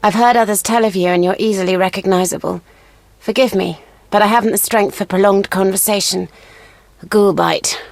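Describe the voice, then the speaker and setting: An actress playing a proud, serious elven huntress in a video game, speaking formally and gravely. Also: female voice, mid-range voice, fairly even intonation, a little fast, dry recording, soft background music, lightly acted. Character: proud, serious elven woman, a wounded monster hunter.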